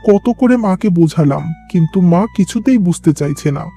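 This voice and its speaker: A man's voice: a little high, animated, fairly quick, vocal fry, mellow. A man voicing an earnest, pleading young son in love in a cartoon drama, over music. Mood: downcast.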